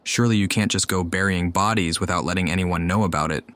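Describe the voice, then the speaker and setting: A woman playing a calm young traveler in a video game, with a wry, deadpan way of talking. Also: a bass voice, quick pace, no echo. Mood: probing, wry. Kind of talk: video game character acting.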